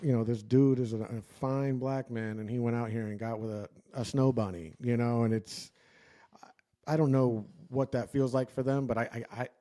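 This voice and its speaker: A man's voice: medium pitch, steady tone, moderate pace, dark tone. A young man co-hosting a podcast, chatting casually and joking, with lots of fillers and restarts. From United States. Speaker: podcast co-host. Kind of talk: casual chat.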